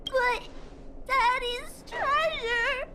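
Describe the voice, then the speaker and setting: Very high-voiced, earnest little girl. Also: very slow, pushed and bright, a little hiss or hum, heavily acted. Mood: tearful, apologetic. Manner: childlike, speaks of herself in third person. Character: earnest, sensitive little girl, devoted to her daddy. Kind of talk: English video game dub acting.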